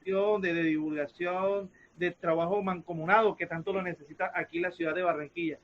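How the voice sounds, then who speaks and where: A man's voice: sits high, steady tone, a little fast, a little hiss or hum. A man with a Colombian accent informally hosting and wrapping up an online discussion. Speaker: host moderating and closing a talk. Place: online video call. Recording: dull, muffled video-call audio.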